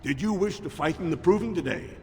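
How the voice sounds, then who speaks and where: An actor playing a businesslike dwarf arena official in a video game, brisk and formal, with a creaky voice. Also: male voice, sits high, lively intonation, darkish tone. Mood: affable, brisk. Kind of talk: video game character acting. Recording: long reverb, music behind.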